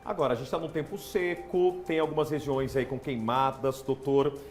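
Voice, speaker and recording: A hoarse man with a Brazilian Portuguese accent, hosting a TV health interview in a chatty, playful way in a studio. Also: mid-range voice, steady tone, moderate pace, soft background music. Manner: chatty, asks questions with playful disbelief. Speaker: TV show host.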